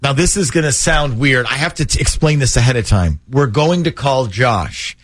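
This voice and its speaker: A man's voice: mid-range voice, expressive, normal speed, no room sound, studio quality. A man hosting a morning radio show, chatty and jokey as he banters and sets up prank calls.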